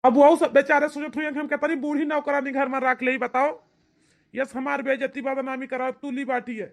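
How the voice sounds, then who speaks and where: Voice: high-pitched, little pitch movement, moderate pace, creaky. A performer voicing a disgruntled, complaining husband in an amateur cartoon, with an Awadhi accent and a grumbling, aggrieved manner. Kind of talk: amateur cartoon comedy-drama acting.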